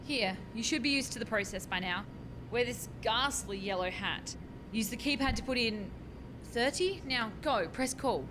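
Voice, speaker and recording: An actress performs a harried young woman scientist in a radio comedy. Her high voice swoops about, flustered and dryly exasperated, over a clearly noisy, rumbling home recording. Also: animated, normal speed, lightly acted. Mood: brisk, exasperated. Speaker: radio play actor. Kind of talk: comic radio play acting.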